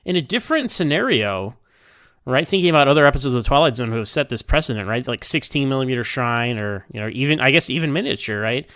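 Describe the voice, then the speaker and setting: A man's voice: mid-range voice, expressive, fast talker, a bit bright, clear, resonant tone, dry recording, clean studio sound. A man talking casually in a rambling, think-aloud way as he gives his review of TV episodes. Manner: conversational, hedging, thinking aloud.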